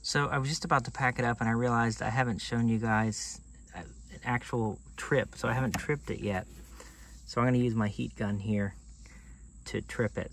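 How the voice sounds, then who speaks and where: A man's voice: lowish voice, vocal fry, noisy background. A man in his forties hosting a do-it-yourself channel, casually talking through a hands-on tool test, thinking aloud with restarts, recorded close on a phone camera. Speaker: DIY channel host. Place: outdoors on gravel and in a workshop.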